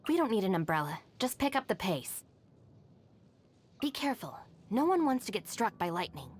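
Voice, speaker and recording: A woman's voice: mid-range voice, big pitch swings, slightly rough. An actress voicing a capable, no-nonsense young female gang deputy in a video game, matter-of-fact and dryly confident. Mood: businesslike, composed. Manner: matter-of-fact, composed, brisk. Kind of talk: video game character voice lines.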